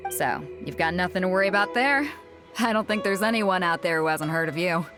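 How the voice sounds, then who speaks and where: A woman's voice: fairly low pitch, lively intonation, a little fast, over music, close to an everyday voice. An actress voicing a hearty, confident female ship captain in a video game, with breezy, teasing delivery. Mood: confident, explaining. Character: bold, hearty female ship captain, swaggering and good-humoured. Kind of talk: video game story dialogue.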